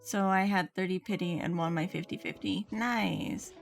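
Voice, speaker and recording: A woman's voice: on the low side, fairly even intonation, normal speed, vocal fry. Casual woman game streamer over faint music. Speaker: game livestreamer. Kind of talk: casual chat while playing, reading viewers' comments.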